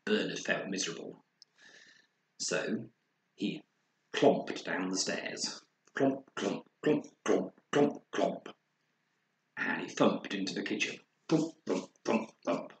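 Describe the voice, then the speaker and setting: A man reading a children's story aloud expressively, with dramatic pauses and acted sound words. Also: sits low, vocal fry. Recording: roomy echo, faint background noise.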